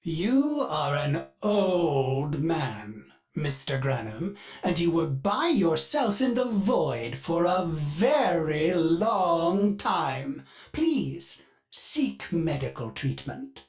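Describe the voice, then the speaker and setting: A man's voice: a little high, sing-song, drawn-out words, full character voice. An actor playing a polite, fussy male ship AI in a video game who speaks of himself in the third person, heard through a glitchy robotic voice filter. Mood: disdainful. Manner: sudden dark glitched outbursts.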